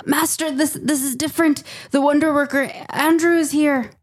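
A woman's voice: high voice, fairly even intonation, normal speed, natural voice. A woman playing an earnest, deferential servant to a Roman governor in an audio drama, urgent and hesitant. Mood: urgent, respectful.

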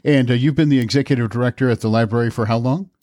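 A man's voice: medium pitch, racing, dark tone, dry recording, clean studio sound. A man hosting a local podcast, asking questions in an easygoing way with many fillers. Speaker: podcast host. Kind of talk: podcast interview and read ad.

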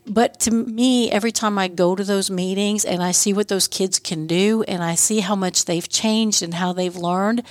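A middle-aged woman with a mid-pitched voice shares personal stories as a podcast guest. She is earnest and conversational, often acting out what people said, in a very dry recording. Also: fairly even intonation, normal speed, few pauses, no echo. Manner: earnest, anecdotal, quotes dialogue in stories. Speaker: podcast guest, school board member and scout leader.

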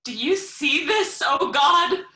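Casting: fiery bereaved young nobleman, sharp bright voice, roomy echo, classical audio drama. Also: sits high, lively intonation, takes it slowly, exaggerated voice. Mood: outraged grief. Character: hot-tempered, grieving young nobleman seeking justice. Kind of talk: classical stage acting for audio.

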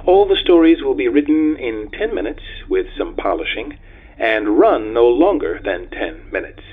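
A man's voice: mid-range voice, big pitch swings, normal speed, husky, phone-quality sound. A man hosting a fiction podcast, reading a scripted introduction in a thin, radio-like recording. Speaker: podcast host introducing a story.

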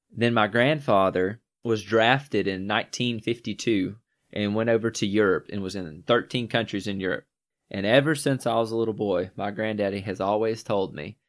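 A young man in his late twenties from Northwest Georgia tells stories on a casual podcast. His voice is low, and he speaks earnestly with some fillers. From Northwest Georgia, USA. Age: 20s. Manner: earnest, storytelling. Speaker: podcast co-host.

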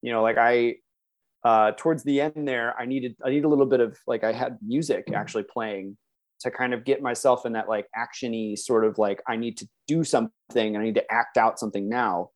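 A man's voice: medium pitch, a little fast. A man who works as a voice actor, chatting casually and enthusiastically as an interview guest. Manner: enthusiastic, animated self-interruptions.

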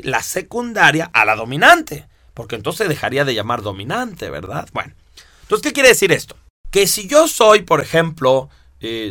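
A man teaching a course, explaining step by step with lively rhetorical questions. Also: a little high, no echo, studio quality. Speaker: instructor. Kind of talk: lecture to students.

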